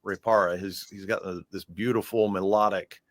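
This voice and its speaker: A man's voice: low voice, steady tone, moderate pace, no echo, clean studio sound. An American man chatting casually in a music interview as the guest, talking in a loose, rambling way.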